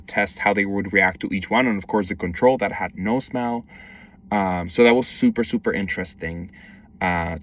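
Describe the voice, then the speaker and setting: A man's voice: lowish voice, fairly bright tone. Young man from Mexico, distant mic. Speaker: wildlife conservation educator. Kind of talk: self-introduction video, casual monologue.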